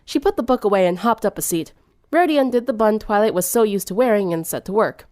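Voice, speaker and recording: A woman's voice: mid-range voice, lively intonation, fast talker, darkish tone, no echo, studio quality. A woman reading fan fiction aloud as scripted third-person narration.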